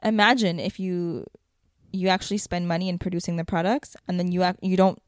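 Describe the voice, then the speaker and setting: Young woman, casual co-host, lowish voice, rambling and chatty, dry close recording. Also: steady tone, a little fast, dry recording. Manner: chatty, lots of "like", trails off mid-thought. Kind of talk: casual chat.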